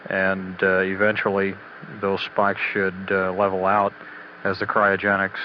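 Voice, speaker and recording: A man giving a halting, matter-of-fact technical status briefing as a spaceflight mission commentator, on old radio audio. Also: sits low, monotone. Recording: dull, noisy 1980s mission radio audio.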